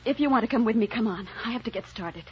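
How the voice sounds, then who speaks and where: A woman's voice: on the high side, lively intonation, fast talker, slight background noise, lightly acted. A woman acting an anguished, self-sacrificing romantic heroine in an old radio drama, earnest and pleading. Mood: firm, strained. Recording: dull, muffled old radio recording.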